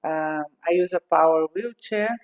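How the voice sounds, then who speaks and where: A woman in her sixties from Brazil with a Brazilian accent, speaking thoughtfully with pauses as a podcast guest. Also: fairly low pitch. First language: Portuguese. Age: 60s. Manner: slow, thoughtful speech with pauses and fillers. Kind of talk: podcast interview. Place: home office with glass wall, remote.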